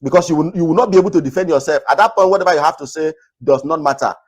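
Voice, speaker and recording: A man from Nigeria hosting a talk video, earnestly advising viewers in a naturally loud voice and repeating his points. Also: a little high, racing, husky. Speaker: host. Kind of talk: casual commentary to camera.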